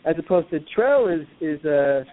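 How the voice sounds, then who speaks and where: A man's voice: on the high side, animated, normal speed. A man in his fifties from New York, hosting a casual discussion and talking earnestly, often searching for his words. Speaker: host. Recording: tinny phone-like line with faint noise.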